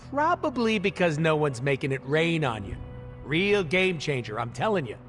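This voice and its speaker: An actor playing a cocky, irreverent mercenary antihero in a video game, with a jokey, sarcastic, theatrical delivery. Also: male voice, on the high side, sing-song, normal speed, fairly bright tone, faint music behind. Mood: cocky, joking. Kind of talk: video game cutscene acting.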